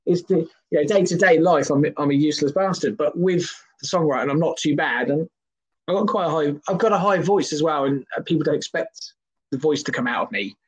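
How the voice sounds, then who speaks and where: A man in his thirties, talking loosely and mocking himself, with plenty of restarts. His voice sits a little high and carries a husky edge. He chats casually as a guest. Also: brisk, rough recording. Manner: self-deprecating, jokey, rambling with restarts. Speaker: podcast guest, singer and comedian. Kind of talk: casual chat.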